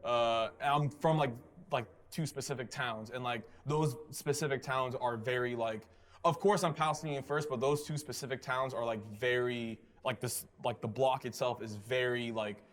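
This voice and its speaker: A man hosts a relaxed interview in an ordinary mid-range voice. He talks loosely and circles his points, leaning on "like" as he goes. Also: medium pitch, normal speed. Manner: rambling, many "like" fillers and restarts. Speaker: interview host. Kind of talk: casual conversation.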